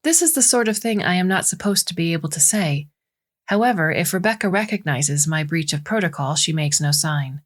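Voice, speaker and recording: A woman voicing a gentle, observant female AI home assistant, narrating a story in measured, formal diction. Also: on the low side, animated. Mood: gentle, earnest. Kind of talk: scripted first-person story narration.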